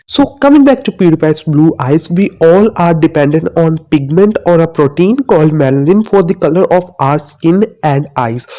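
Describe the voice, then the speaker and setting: A man's voice: a little high, mellow. Self-mocking young man explaining science. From Asia. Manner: self-mocking, chatty teacher tone. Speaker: student YouTuber. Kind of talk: casual explainer monologue.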